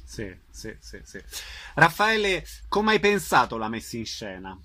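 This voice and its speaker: A man's voice: mid-range voice, sing-song, a little slow, pushed and bright, dry recording. A man asking conversational questions as a radio interviewer.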